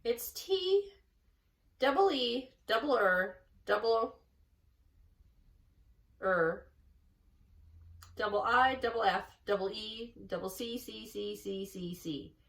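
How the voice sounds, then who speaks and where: A woman's voice: on the high side, lively intonation, slow pace, vocal fry, long pauses, fairly bright tone, heavily acted. Woman doing a stammering, gabbling gander, echoey. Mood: flustered, repetitive. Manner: stammering, repeating words over and over. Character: gabbling, repetitive, muddled gander who stutters and spells wrongly. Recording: echoey room, distant mic.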